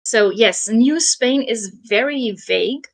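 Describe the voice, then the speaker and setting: A young adult woman casually telling a history story, with frequent ums and soft laughs. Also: on the high side, slow. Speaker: guest storyteller.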